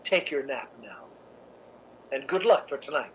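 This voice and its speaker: A woman's voice: a bass voice, lively intonation, unhurried, a little hiss or hum, rough recording. A woman playing a cold, cynical, domineering theatre columnist in a radio drama, speaking in an imperious, articulate way. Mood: controlling, cynical. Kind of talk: 1950s radio drama acting.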